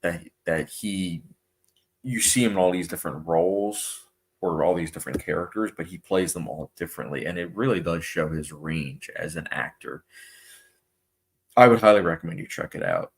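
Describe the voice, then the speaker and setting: A man's voice: low-pitched, normal speed, creaky. A young man hosting a casual live stream, reading out viewer comments and chatting loosely with plenty of fillers. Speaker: movie channel host.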